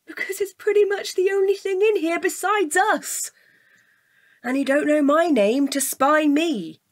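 A woman's voice: very high voice, unhurried. A woman playing a bored, bossy, prickly woman in an audio drama, with a dry and blunt manner. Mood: blunt, exasperated. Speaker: actor.

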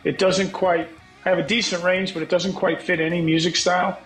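A middle-aged man with a scratchy voice answers in a frank, reflective way and swears now and then. His voice sits a little high. The call audio sounds echoey, as if he is in a bare room at home. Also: level tone, a little fast, a bit bright, soft background music. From United States. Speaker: guest songwriter. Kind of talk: candid interview talk.

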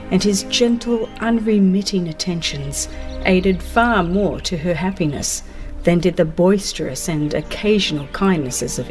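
A woman with a mid-pitched voice narrates a religious audiobook over music. Her delivery is solemn and scripted, with measured, deliberate phrasing. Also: darkish tone.

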